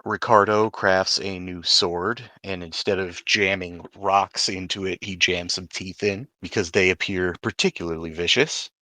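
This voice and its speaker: Low-voiced man running a tabletop game. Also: sharp tone, dry recording. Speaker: game master and host. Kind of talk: narrating a tabletop roleplaying game.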